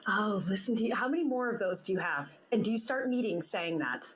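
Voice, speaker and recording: A woman's voice: medium pitch, expressive, brisk, slight background noise. A woman hosting an interview show, bubbly and teasing, laughing often as she banters with her guest. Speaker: podcast host. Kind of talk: casual interview chat.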